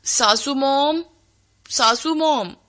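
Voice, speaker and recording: A woman's voice: high-pitched, extremely slow, fairly bright tone, pitched above the performer's own voice, full character voice. A woman voicing a spoiled modern young daughter-in-law in a cartoon story, breezy, bossy and demanding.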